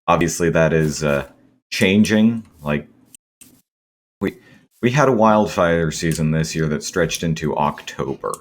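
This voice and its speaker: Hoarse, low-voiced man rambling slowly. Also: animated. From Seattle area, US. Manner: rambling, many ums and self-corrections. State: hoarse, sore throat. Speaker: gaming video host. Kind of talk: casual gameplay commentary.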